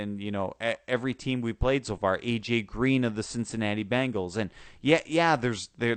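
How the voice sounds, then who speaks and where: A man with a low, smooth voice that is sore and a little hoarse, talking football on a show he hosts. He talks in a halting way, full of restarts and fillers. The sound is dry and close. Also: dry recording. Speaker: sports podcast host. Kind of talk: casual football talk.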